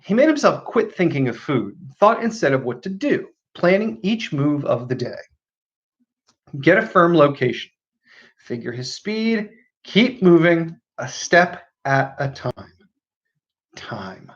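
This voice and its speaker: A man reading a novel aloud as an expressive narrator, with deliberate, dramatic pauses and emphasis. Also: on the high side, slightly rough, highs cut off.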